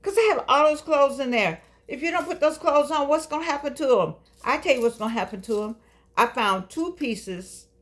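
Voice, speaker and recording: An older woman hosts her own show from home, coaxing and preaching in turns with a playful edge. She stretches words out for emphasis, and her voice sits a little high for a woman. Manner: playful, preachy, coaxing, with dramatic drawn-out phrases. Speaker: host. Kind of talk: casual talk show monologue. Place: home studio with keyboard.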